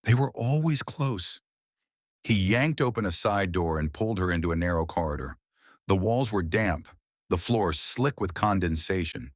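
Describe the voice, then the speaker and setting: A man reading a psychological thriller audiobook with tense, dramatic pauses. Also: low voice, no room sound, studio quality. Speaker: audiobook narrator. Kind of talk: scripted thriller narration.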